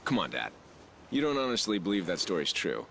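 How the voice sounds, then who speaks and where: An actor playing a sceptical young adult son in a video game cutscene. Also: male voice, deep voice, big pitch swings, brisk, vocal fry, fairly bright tone, a little hiss or hum, full character voice.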